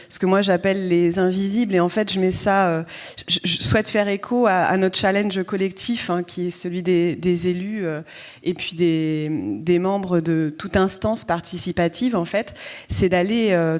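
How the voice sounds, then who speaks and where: A woman, a local elected official, giving round-table remarks in a halting way with repeated words. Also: fairly low pitch, a little mellow.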